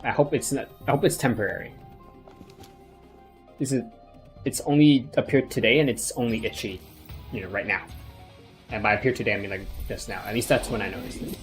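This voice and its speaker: A man's voice: medium pitch, steady tone, fairly quick, pauses often, faint music behind. A man streaming a game, chatting casually and rambling with lots of fillers. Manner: rambling, many fillers and restarts. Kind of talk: casual gaming commentary.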